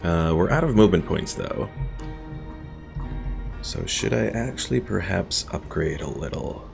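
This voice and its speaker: A man casually narrating a strategy game playthrough, thinking aloud and chatting with his stream viewers in a relaxed way. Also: deep voice, measured pace, vocal fry. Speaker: gaming streamer. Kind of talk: casual let's-play commentary. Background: game music.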